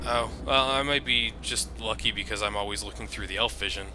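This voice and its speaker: A man's voice: on the low side, brisk, bright, forceful tone, rough recording. A man giving casual commentary as he plays a video game. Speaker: gameplay commentator, playing the game. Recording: clear background noise from the game. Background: game sound.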